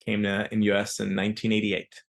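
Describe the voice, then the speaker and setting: A man in his forties whose first language is Serbian, answering interview questions in an explanatory way, often pausing on "uh" and restarting phrases. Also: fairly low pitch, completely flat delivery, creaky. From raised in Yugoslavia. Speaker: business founder as guest.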